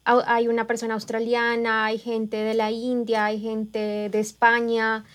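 A woman's voice: a little high, monotone, measured pace. A woman from Colombia, speaking thoughtfully and reassuringly in a casual chat.

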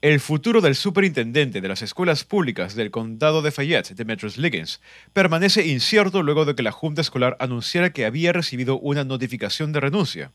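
A man reading a news report in an even, formal delivery. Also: medium pitch, fairly quick, no echo. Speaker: news reader.

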